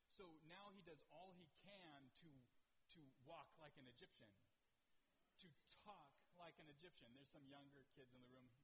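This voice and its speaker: A man's voice: on the high side, fairly bright tone. A man preaching a sermon in earnest, often repeating words as he builds a point, in a church sanctuary. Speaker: pastor. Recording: distant mic with hall reverb and faint hiss.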